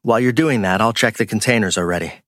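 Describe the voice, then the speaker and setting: A man's voice: lowish voice, fast talker, a bit bright, dry recording, studio quality, natural voice. A man voicing a polite, guarded working man, matter-of-fact, in an audiobook story. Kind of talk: acted audiobook dialogue.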